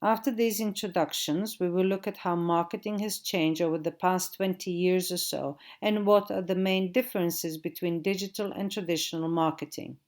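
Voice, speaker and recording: A middle-aged woman from Croatia speaks with a Croatian accent in a slightly low voice. She delivers a steady recorded lecture as a digital marketing trainer and slows down to spell out web addresses. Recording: audio-only recording.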